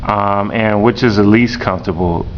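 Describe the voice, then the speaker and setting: A man, a teacher, interviewing a student slowly and repeating each answer back. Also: steady tone. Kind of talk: classroom interview. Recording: dull lo-fi recording with rumble.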